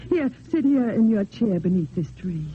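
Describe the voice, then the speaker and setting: Woman acting devoted grieving widow, old radio play, very proper measured diction, thin crackly sound. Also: medium pitch, animated, natural voice. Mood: gently expectant. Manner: formal, measured, theatrical diction. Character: Roxanne, grieving devoted French widow living in convent. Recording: tinny, noisy old radio recording.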